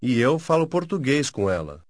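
A man modelling phrases for learners, repeating them slowly and carefully, syllable by syllable, on a studio mic. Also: medium pitch, very animated, dry recording. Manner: slow, careful, syllable-by-syllable repetition. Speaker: native-speaker model in a language course. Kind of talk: scripted phrase drills.

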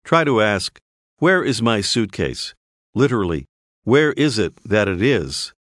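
A man narrating a scripted language course, clearly prompting the listener to repeat phrases. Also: lowish voice, sing-song, slow pace, a bit bright, no room sound. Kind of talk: scripted audio lesson instructions. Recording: dry studio voice-over.

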